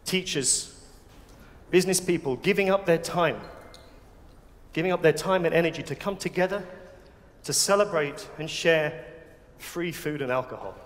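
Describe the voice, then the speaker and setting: A man's voice: fairly high pitch, moderate pace, long pauses. An English man hosting an awards gala with a joking, self-mocking, chatty manner. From England. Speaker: awards host. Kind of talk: comic stage hosting. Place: large banquet hall with audience. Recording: long hall reverb.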